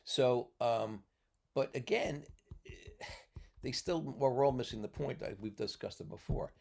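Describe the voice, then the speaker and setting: A man's voice: mid-range voice, a little slow, vocal fry, darkish tone. A man from the US Northeast talking as a mentor in a casual podcast chat, explaining at length and checking in with "okay?" after his points. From New York, US Northeast. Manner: lecturing, tags points with "okay?".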